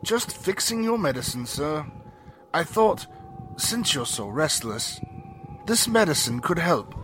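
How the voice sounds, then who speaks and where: An actor playing a polite, solicitous manservant in an old-time radio drama, speaking formally and hesitantly. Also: male voice, on the high side, very animated, measured pace, fairly bright tone, a little hiss or hum. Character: deferential, solicitous manservant to a restless master.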